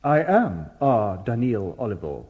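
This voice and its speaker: An actor playing a calm, formal, unflappable male robot detective with precise speech in a radio drama. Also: medium pitch, measured pace, slightly rough, phone-quality sound. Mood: calm, courteous.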